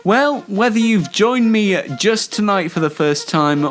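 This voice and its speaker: Male comedy host, slightly high voice, slow-ish rambling self-deprecating delivery, light music underneath. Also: animated. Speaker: show host. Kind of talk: casual comedic solo show presenting.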